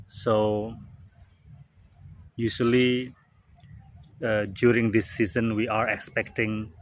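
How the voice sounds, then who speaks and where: Indonesian-native guy in his 40s, low voice, talks slow w lots of uh's, outdoors, birds and traffic noise around. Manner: slow, thoughtful, many pauses and fillers. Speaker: vlogger. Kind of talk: reflective talk to camera. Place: outdoors under a tree in a park. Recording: clear outdoor background noise. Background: birds, traffic and outdoor ambience.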